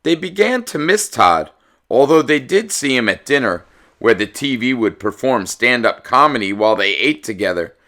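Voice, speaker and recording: Animated, bright-voiced man reading a storybook. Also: medium pitch, normal speed. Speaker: read-aloud host. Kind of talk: expressive children's picture book narration.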